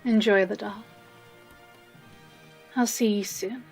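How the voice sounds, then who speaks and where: A woman playing an obsessive, possessive librarian captor in a dramatic audio roleplay, breathy and often whispering, with theatrical pauses and a tenderly menacing manner. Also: medium pitch, steady tone, darkish tone, faint music behind. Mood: possessive, loving.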